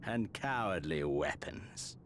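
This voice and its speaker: An actor playing a cold, haughty crown prince in a video game, speaking with deliberate, theatrical menace as he obsesses over his hunt. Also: sits low, animated, fairly bright tone, slight background noise, exaggerated voice. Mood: contemptuous. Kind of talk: dramatic video game acting. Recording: game music behind the voice.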